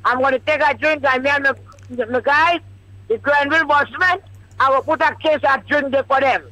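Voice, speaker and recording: A cheerful woman in her sixties rambles happily as a caller. Her voice sits very high and sounds bright and forceful. The sound is dry and close. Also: moderate pace, no echo, poor audio. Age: 60s. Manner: cheerful, chatty, excitedly rambling. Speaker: radio call-in caller. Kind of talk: casual chat.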